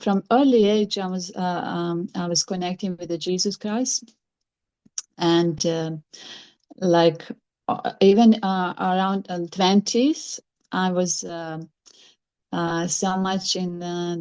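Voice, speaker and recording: Serbian-accented woman guest, lower register, hesitant phrasing with lots of ums. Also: darkish tone, highs cut off. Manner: hesitant, many fillers, halting non-native grammar. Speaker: podcast guest. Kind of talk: casual interview chat.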